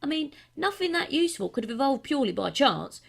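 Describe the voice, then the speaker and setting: A woman voicing a smug, pedantic man in a comic audiobook. He argues briskly and emphatically, with animated jumps in pitch. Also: creaky, heavily acted. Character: smug, quick-reasoning man debating in a comic fable.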